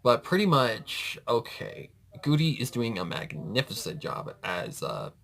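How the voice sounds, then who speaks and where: A man's voice: medium pitch, huge pitch swings, unhurried, vocal fry, a bit bright. A man giving casual, off-the-cuff reaction commentary, rambling and often correcting himself. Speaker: reaction video host.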